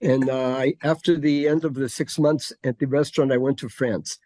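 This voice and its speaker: An older man from Connecticut, talking in a halting, filler-heavy way as an interview guest. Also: mid-range voice, fairly even intonation, warm tone, dry recording. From Connecticut, USA. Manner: halting, many fillers and restarts. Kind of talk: casual interview conversation.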